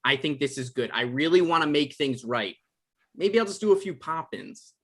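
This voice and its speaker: A man's voice: on the high side, animated, moderate pace, a bit bright. A man playing a naive, defensive novelist in a comedy table read. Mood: earnest.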